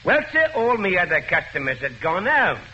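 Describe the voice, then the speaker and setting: A jittery publican on the witness stand, his bright, sharp voice leaping up and down as he stammers out sir after sir. It sounds like a dull old radio drama recording with faint hiss underneath. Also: high voice, sing-song, full character voice. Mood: nervous. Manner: deferential, halting, keeps saying sir. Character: nervous working-class male pub keeper witness. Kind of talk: 1940s radio acting.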